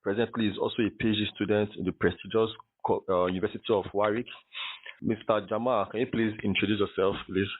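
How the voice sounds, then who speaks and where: A man's voice: on the low side, steady tone, quick pace, slightly rough, phone-quality sound. A man hosting a podcast, introducing the show and interviewing a guest.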